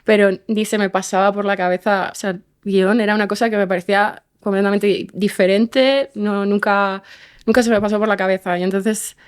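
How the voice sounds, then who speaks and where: A woman talks casually as an interview guest. Her intonation is even and mid-pitched, and she often rephrases as she goes. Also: moderate pace, smooth. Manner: informal, self-correcting. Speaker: podcast guest, writer.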